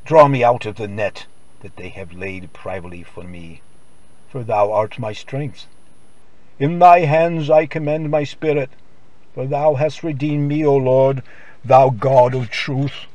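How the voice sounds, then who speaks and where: A man's voice: medium pitch, a little slow, darkish tone, no echo, rough recording. A man preacher reciting a psalm in a solemn, declamatory old-time gospel radio style. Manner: solemn, declamatory, archaic scriptural delivery.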